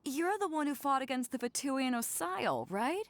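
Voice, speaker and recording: Bold, lively sea captain. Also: female voice, high voice, moderate pace, no room sound. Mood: surprised, hearty. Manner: informal, confident, easygoing. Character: bold, breezy, hearty female sea captain. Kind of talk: video game voice acting.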